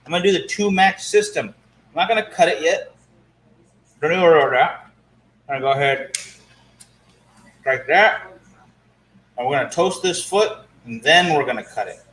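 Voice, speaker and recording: A man's voice: a little high, animated, normal speed, long pauses, fairly bright tone, a little hiss or hum. A man co-hosting a cigar livestream, easygoing and talking straight to viewers. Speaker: cigar show co-host. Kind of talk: casual livestream chat. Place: cigar shop lounge. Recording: roomy shop with faint ambience.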